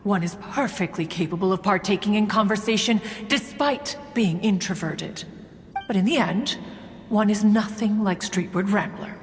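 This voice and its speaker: A woman's voice: mid-range voice, moderate pace, soft background music, close to an everyday voice. A woman playing a proud, mournful, ancient immortal in a video game, telling stories in formal, archaic, stately speech. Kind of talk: scripted game storytelling.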